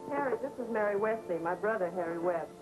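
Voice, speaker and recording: Worried American wife played by an actress. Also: female voice, mid-range voice, animated, fast talker, over music, close to an everyday voice, low-quality recording. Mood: subdued, polite. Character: worried, weary young wife and mother. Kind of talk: 1950s American TV drama acting.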